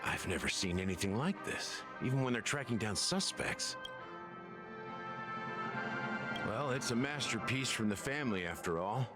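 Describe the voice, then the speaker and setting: An actor playing a world-weary, sardonic man in a video game, telling history in a measured way. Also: lowish voice, expressive, over loud music, close to an everyday voice. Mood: wry, explanatory. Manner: measured, storytelling. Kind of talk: video game dialogue acting.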